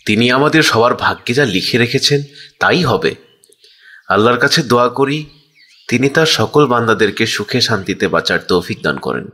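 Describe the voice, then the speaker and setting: A man playing an honest, pious small shopkeeper in a cartoon, gentle and courteous. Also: mid-range voice, animated, normal speed. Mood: humble, calm. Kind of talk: cartoon story character dialogue.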